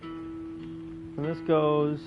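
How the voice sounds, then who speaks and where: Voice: mid-range voice, monotone, extremely slow, phone-quality sound, music in the background. A game player giving casual reaction commentary during gameplay.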